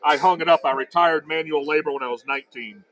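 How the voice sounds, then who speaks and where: Loud projecting male talker. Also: fairly high pitch, quick pace, rough recording. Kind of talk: casual chat.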